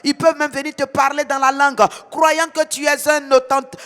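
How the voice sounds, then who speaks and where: A man's voice: extremely high pitch, little pitch movement, brisk. A man with an Ivorian French accent leading fervent prayer in a rhythmic, chanting way, repeating phrases with intensity. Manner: intense, rhythmic repetition, bursts into tongues. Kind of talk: fervent morning prayer, sing-song chanting. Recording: reverberant hall with soft music behind.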